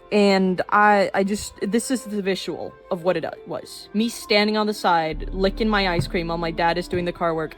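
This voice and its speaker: A woman of twenty-one chatting casually in a rambling way while showing her sketchbook, with fillers and quick laughs. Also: on the low side. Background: soft background music.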